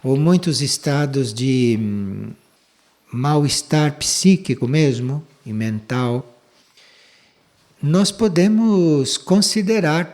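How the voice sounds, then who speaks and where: Older man, spiritual lecturer, very slow unhurried delivery, mid-pitched voice. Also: a little mellow. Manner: slow, measured, with long pauses. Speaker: spiritual teacher giving a talk.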